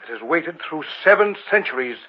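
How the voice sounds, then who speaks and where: An actor playing an older, obsessive and patronizing occult scholar in old-time radio horror, speaking gravely and theatrically. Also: male voice, fairly high pitch, expressive, a little fast, natural voice. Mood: fervent anticipation. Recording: dull, noisy old radio recording.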